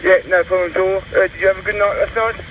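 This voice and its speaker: A high-voiced man comes through a CB radio thick with noise. He chats casually in operator shorthand, like one mate checking in with another. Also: steady tone, fast, phone-quality sound. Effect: heard over CB radio. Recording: very noisy CB radio transmission.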